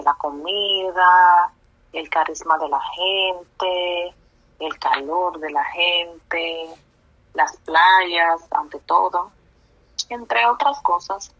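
A woman from the Republic, answering oral history questions slowly, with hesitant fillers. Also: lowish voice, a bit bright. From Dominican Republic. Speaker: interviewee. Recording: muffled, dull lo-fi audio.

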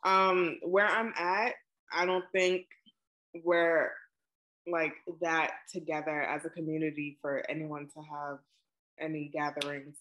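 A woman, answering questions in a relaxed oral history interview over a video call, with plenty of ums and likes. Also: low voice, measured pace, rough recording. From Brooklyn, New York. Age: 20s.

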